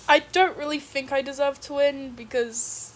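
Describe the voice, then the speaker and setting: High-pitched young Polish woman. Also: a bit bright, slight background noise. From Poland. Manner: playful, repeats herself with emphasis. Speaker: contestant. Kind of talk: casual self-introduction.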